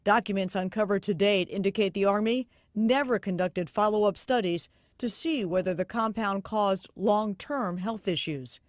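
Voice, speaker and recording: A woman's voice: mid-range voice, normal speed, few pauses, dry recording, clean studio sound. A woman with an American accent narrating a scripted investigative television news report.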